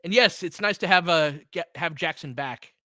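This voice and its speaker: A man's voice: fairly high pitch, normal speed, sharp tone, dry recording, clean studio sound. A man giving live basketball play-by-play with informal, slangy fan reactions mixed into casual chat. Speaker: host of a fan play-by-play stream.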